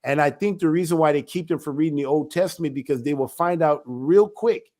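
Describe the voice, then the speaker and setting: Guy, church elder teaching, gets worked up and animated, voice sits kinda high. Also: brisk. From United States. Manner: emphatic, animated storytelling. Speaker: elder of a ministry. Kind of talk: religious teaching talk.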